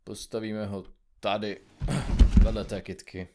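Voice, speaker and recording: A man playing an impatient, grumpy investigative journalist in an audio comedy drama, with a gruff, curt, colloquial manner. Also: lowish voice, little pitch movement, heavy background noise, natural voice. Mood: matter-of-fact.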